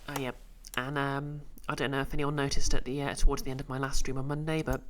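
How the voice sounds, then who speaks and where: A woman's voice: rumbling low, little pitch movement, fast talker, slight background noise. A woman streamer chatting casually with her viewers, voice a bit off from a cold, with dry, mock-pompous joking. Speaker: game streamer.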